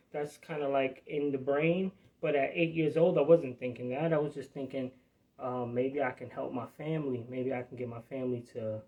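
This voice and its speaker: A man's voice: medium pitch, a little mellow. A man from New Jersey hosting a live podcast, talking in a rambling, profane, streetwise way. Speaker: podcast host. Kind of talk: casual live podcast chat.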